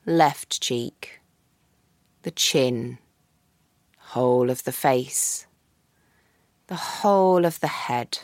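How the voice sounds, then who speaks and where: A woman guiding a yoga nidra practice in a slow, soft, calm voice with long pauses. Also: very deep voice, dry recording, studio quality. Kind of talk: guided meditation.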